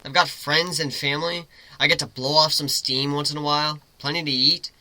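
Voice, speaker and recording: Male reader as wisecracking griffin hero, informal and teasing, sharp bright tone, dry close sound. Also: on the high side, flat delivery, fairly quick, dry recording. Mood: reassuring, joking. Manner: informal, teasing, rambling explanations. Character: cocky, joking male griffin, formerly a troubled human.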